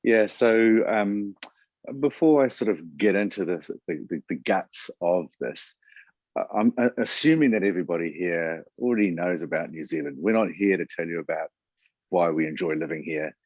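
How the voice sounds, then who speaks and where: A man hosting an online webinar, talking in a relaxed way with many fillers and restarts. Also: low voice, normal speed, no echo, highs cut off. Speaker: webinar host. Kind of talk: webinar presentation. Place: Auckland.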